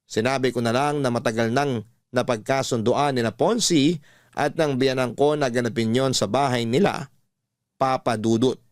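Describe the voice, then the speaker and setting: A Filipino man narrates a love story in radio style, reading from a script. His voice sits at a medium pitch in a very dry studio recording. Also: steady tone, normal speed, no room sound.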